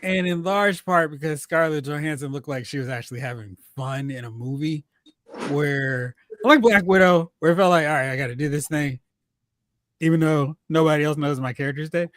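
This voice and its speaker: A man with a slightly high voice and a dry, close sound. He hosts casual movie chat, moving between recap and animated joking commentary. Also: moderate pace, dry recording. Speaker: host of a movie talk show.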